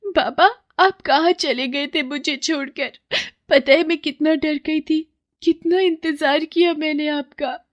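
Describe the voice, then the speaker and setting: A woman acts out a poor young girl in a children's moral tale. The pitch is raised well up. She sounds earnest, sincere and full of affection. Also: fairly even intonation, measured pace. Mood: emotional. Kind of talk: children's moral story dramatization.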